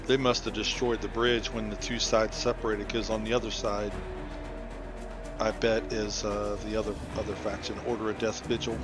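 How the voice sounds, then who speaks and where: A man's voice: fairly low pitch, flat delivery, normal speed, music in the background. A man giving casual, unscripted commentary as he plays a video game. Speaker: gameplay commentator. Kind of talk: casual let's play commentary.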